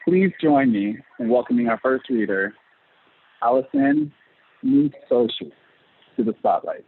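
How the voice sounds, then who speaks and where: A man's voice: medium pitch, big pitch swings, unhurried, creaky, slightly rough, narrow, tinny audio. A man hosting an online event, reading out a scripted introduction and instructions over a video call. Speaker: event host, marketing manager.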